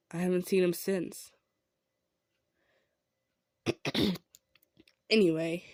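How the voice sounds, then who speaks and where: Kind female centaur, played by a woman: mid-pitched, modest, softly insistent, roleplay delivery straight to the listener, no room sound. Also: no echo, studio quality, natural voice. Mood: ashamed, regretful. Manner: earnest, hesitant, gentle insisting. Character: kind, humble, self-effacing female centaur. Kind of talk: improvised audio roleplay addressed to the listener.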